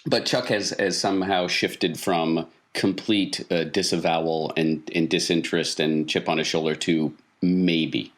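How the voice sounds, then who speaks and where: Low-voiced man running a tabletop game. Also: steady tone, a little slow, hardly any pauses. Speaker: game master. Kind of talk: tabletop role-playing game narration and questioning.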